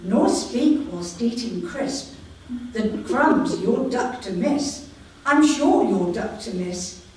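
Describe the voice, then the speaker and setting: Woman poet, higher voice, slow measured reading, roomy hall, heard from afar. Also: animated, warm tone, slight background noise. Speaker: poet reading her own work. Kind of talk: poetry reading at a live event. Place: community hall with live audience. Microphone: no microphone, voice projected. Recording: echoey hall, voice from far off.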